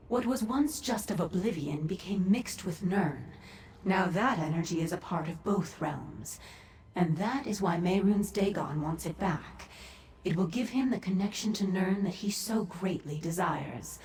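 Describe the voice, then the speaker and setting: An actress voicing a cold, composed otherworldly sorceress in a video game, speaking in a formal, measured, haughty way. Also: female voice, fairly low pitch, darkish tone, slight background noise. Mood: composed, imperious. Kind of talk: video game character dialogue. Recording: echoing voice over background music.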